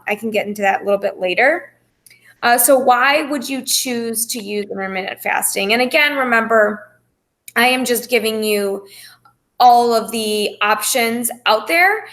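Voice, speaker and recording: A woman's voice: a little high, a bit bright. A woman speaking as a fitness coach, explaining things informally to a group in a reassuring, careful way. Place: phone call presentation.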